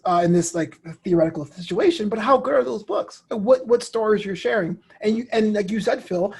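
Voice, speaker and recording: A man's voice: high voice, slightly rough. A man, talking casually as an interview guest and acting out quoted remarks as he tells stories. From New York City, United States. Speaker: guest, comedian and show host.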